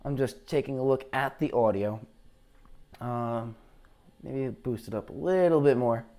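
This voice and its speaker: A man with a tired, low voice after heavy talking, hosting a live weather stream in a chatty, rambling way, talking into a lavalier mic. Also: a little slow, dark tone. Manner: chatty, rambling, unsure asides. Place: green screen studio, indoors. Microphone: lavalier clip mic.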